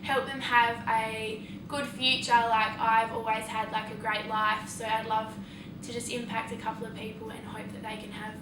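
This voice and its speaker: High-voiced Australian teen girl, distant mic. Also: little pitch movement, normal speed. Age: late teens. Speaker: student panellist. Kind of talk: casual personal answers. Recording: echoey noisy room, distant mic.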